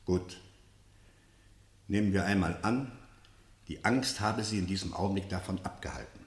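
An actor playing a stern military general who interrogates in a probing, sardonic and authoritative way in a radio play. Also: male voice, sits low, normal speed. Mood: probing, sceptical. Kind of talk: German radio play acting. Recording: roomy, echoing studio radio play.

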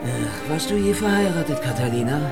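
An actor playing a wisecracking, flirtatious, world-weary agent who narrates and talks in a sardonic noir radio-drama style. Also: male voice, a little high, lively intonation, brisk, over loud music. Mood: wry, teasing. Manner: sardonic, teasing. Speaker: main character and narrator.

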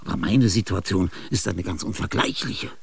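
An actor in a radio drama playing an ailing, aging male genius, restless and grandiose. He speaks confidingly in a husky, gravelly voice, with sudden outbursts and whispers. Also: low-pitched, fairly even intonation, normal speed, a little hiss or hum. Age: older. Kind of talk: theatrical radio drama monologue.

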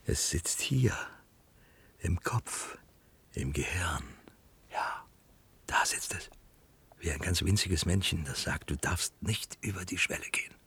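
A man in a radio drama playing a haunted, confined man, brooding in a hushed, husky half-whisper. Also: sits low, slow pace, pauses often, exaggerated voice. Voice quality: husky, breathy.

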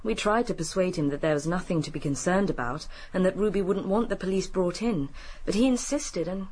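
Genteel british widow in radio drama, calm, guarded, lowish and husky. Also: female voice, a little fast, rough recording. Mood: composed, helpful. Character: composed, genteel, guarded widowed daughter-in-law.

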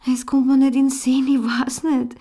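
An actress in a radio drama playing a troubled, devoted wife and mother under questioning, with an Austrian accent and a breaking, halting delivery. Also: high voice, little pitch movement, dark tone, husky. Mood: bewildered, agitated. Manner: halting, repetitive, emotionally fragile. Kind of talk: naturalistic radio drama acting.

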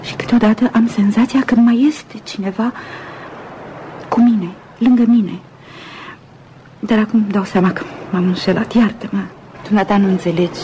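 An actress playing a dreamy, romantic upper-class woman in a Romanian radio drama, speaking softly and musingly with pauses. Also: medium pitch, steady tone, a little hiss or hum, natural voice, rough recording. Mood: yearning, wistful. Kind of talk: Romanian radio theatre acting.

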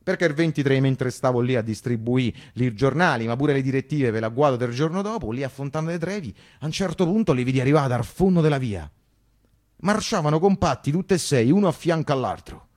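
A man with a Roman accent playing a naive, animated working-class character recalling his wartime boyhood in a colloquial, emphatic radio monologue. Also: medium pitch, brisk, lightly acted. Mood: vivid recollection. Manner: emphatic, repetitive, conversational.

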